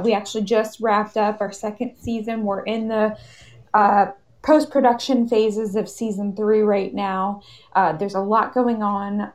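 A woman hosting an informal live podcast panel, explaining things in a welcoming way. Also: medium pitch. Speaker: host and panel moderator.

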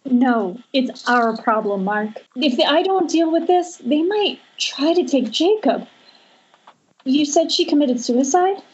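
A woman's voice: high-pitched, animated, takes it slowly. A woman reading the part of a worried, determined young horror heroine in an amateur screenplay table read. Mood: troubled. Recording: dull, lo-fi internet call.

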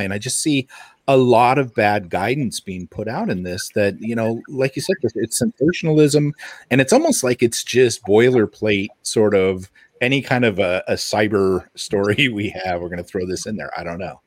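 A man's voice: lowish voice, no echo, clean studio sound. A man co-hosting a podcast in casual chat, with a wry, joking manner. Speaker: podcast co-host.

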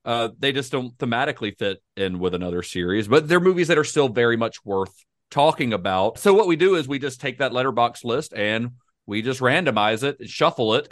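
A man's voice: medium pitch, fairly bright tone, no room sound, clean studio sound. A man co-hosting a film podcast, talking casually with frequent restarts and laughs. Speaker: podcast co-host.

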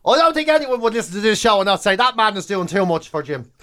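A man's voice: high-pitched, big pitch swings, fast, fairly bright tone, a little hiss or hum. An Irish man co-hosting a radio show with comic banter, emphatic and given to repeating himself. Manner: mock outrage, emphatic repetition.

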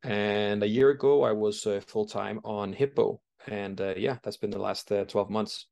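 Low-voiced man from Denmark, founder chatting. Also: steady tone, moderate pace, vocal fry, a little mellow. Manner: thoughtful, frequent fillers and restarts while explaining. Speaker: startup founder and CEO. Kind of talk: conversational interview answers.

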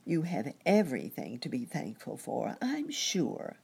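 A woman's voice: low-pitched, wildly swooping pitch, a little slow, gravelly, darkish tone, husky, heavily acted. A woman voicing a caring muskrat lady nurse in a storybook, fussing in an anxious, earnest, sympathetic way. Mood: concerned coaxing.

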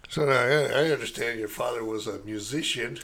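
A man's voice: mid-range voice, brisk, fairly bright tone. An older man hosting a community interview show, friendly and reading scripted introductions. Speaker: show host.

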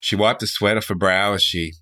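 Low, bright-voiced male podcast host. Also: steady tone, a little fast. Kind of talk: casual chat and improvised storytelling.

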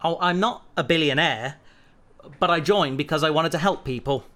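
Hesitant young male recruit, played by an actor for sci-fi audio drama: fairly high, bright tone, modest and sincere. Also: moderate pace, close to an everyday voice. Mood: earnest, sheepish. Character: earnest, awkward young male recruit from wealthy family. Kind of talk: science fiction audio drama acting.

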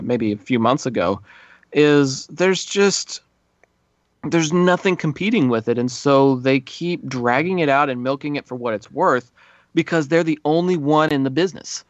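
A man's voice: medium pitch, no room sound. A man co-hosting a casual film discussion, explaining his opinions, on slightly dull lo-fi audio. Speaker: podcast co-host.

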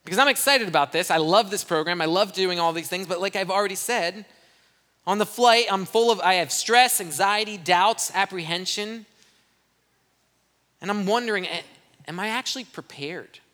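A man's voice: high-pitched, normal speed, bright, forceful tone. A young adult man from Brazil, a pastor giving a candid, self-deprecating missions report to his church. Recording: long reverb from a church hall.

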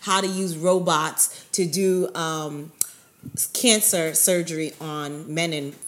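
A woman's voice: sits low, steady tone, slow pace. A woman from Brooklyn, co-hosting a faith talk show in a chatty way and often saying "by God's grace". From Brooklyn, New York. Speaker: co-host of a ministry show. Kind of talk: casual conversational talk.

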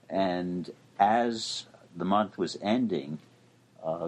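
An older man, a law professor and co-host, explaining legal news in a dry, measured way. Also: sits low, breathy edge, poor audio.

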